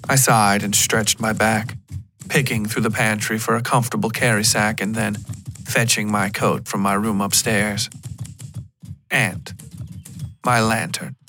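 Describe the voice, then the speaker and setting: A man reading scripted first-person dark fiction as the narrator of a story podcast. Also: lowish voice, level tone, unhurried, long pauses, slight background noise.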